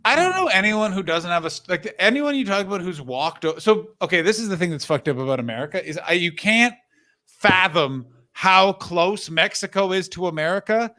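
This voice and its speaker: A man from Canada telling stories in a casual chat, animated and acting out what people said. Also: high voice, brisk, no echo. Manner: animated storytelling, swearing, acts out quotes.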